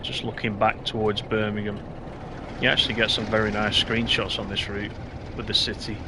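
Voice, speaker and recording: Slightly hoarse guy chatting away while driving a game train, noisy recording. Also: fairly low pitch, little pitch movement, moderate pace. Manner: chatty, reads out chat comments. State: a bit hoarse. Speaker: live streamer. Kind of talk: casual gameplay commentary.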